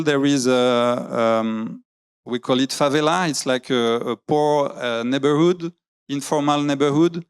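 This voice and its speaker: A man's voice: on the high side, smooth. A man giving a talk in English, hesitating often, searching for words and restarting his sentences. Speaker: graphic novelist giving a talk. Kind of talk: public talk with slides. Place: lecture venue.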